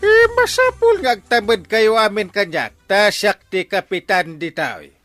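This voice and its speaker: An actor playing a boastful, hot-headed village captain in an Ilocano radio comedy, blustering and insistent, with an Ilocano accent. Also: male voice, high-pitched, sing-song, moderate pace, fairly bright tone, faint music behind. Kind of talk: broadcast comedy drama acting in Ilocano.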